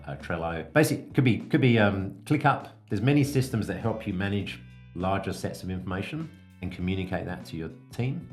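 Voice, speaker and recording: Very deep-voiced man coaching, music behind. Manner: conversational, explaining step by step with examples. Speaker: business coach and podcast host. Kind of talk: informal explanatory business coaching talk.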